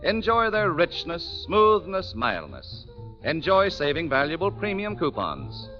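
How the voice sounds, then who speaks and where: A man's voice: on the high side, huge pitch swings, unhurried. An American man working as a 1940s radio announcer, delivering scripted introductions and sponsor copy. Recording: dull 1940s radio broadcast, music behind.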